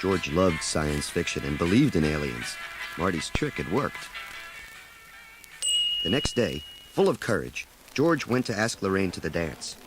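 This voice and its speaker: Low-voiced male storybook narrator. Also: sing-song, measured pace, over music, low-quality recording. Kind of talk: scripted children's storybook narration.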